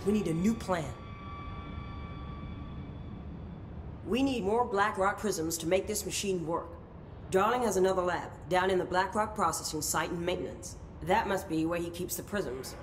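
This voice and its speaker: A woman's voice: fairly low pitch, normal speed, slight background noise, close to an everyday voice. An actress playing a stern, guarded middle-aged woman who commands agency operations in a video game, speaking in a firm, clipped, authoritative way. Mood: brisk, commanding. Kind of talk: video game cutscene acting.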